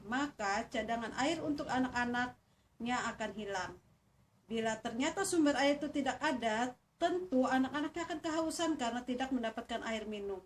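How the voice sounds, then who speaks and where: A woman storyteller narrating a children's fable in an expressive style. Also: sits high. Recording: distant mic, faint background noise.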